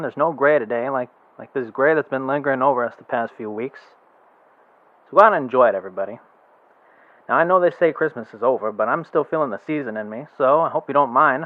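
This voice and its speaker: A man's voice: mid-range voice, no echo, natural voice. A folksy, upbeat small-town radio DJ, played by a man chatters away to his listeners. His voice comes through thin and phone-like, dead dry with no room around it. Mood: cheerful. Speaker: radio announcer. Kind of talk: amateur radio drama acting.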